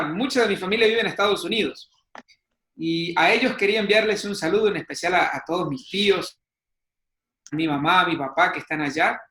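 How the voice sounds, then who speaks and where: A young man with a high, bright voice speaks fluently. His voice rings in an echoey room. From El Salvador. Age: young adult. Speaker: student guest.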